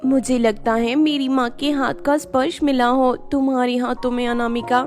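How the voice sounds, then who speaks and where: A humble village woman from a cartoon fairy tale, put on by a woman narrator, high-voiced and full of admiration. Faint music runs behind her words. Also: little pitch movement, normal speed, a little mellow, natural voice. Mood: humble, moved. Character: humble, admiring village women visiting a beauty parlour.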